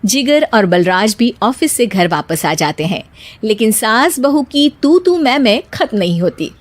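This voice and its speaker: Woman storyteller for hindi cartoons, kinda high pitched, really swoopy lively narration. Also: unhurried.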